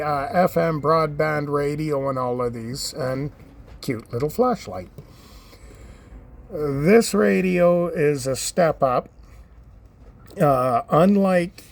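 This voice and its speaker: Slow, gravelly man explaining radios. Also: a little high. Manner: unhurried, many fillers and restarts, plain-spoken. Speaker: ham radio hobbyist reviewer. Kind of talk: casual show-and-tell explanation.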